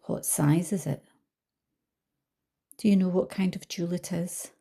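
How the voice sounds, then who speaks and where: A woman leading a guided visualisation as a coach, speaking calmly and gently with soft trailing phrases. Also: on the low side, unhurried, vocal fry, husky. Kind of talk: guided meditation narration.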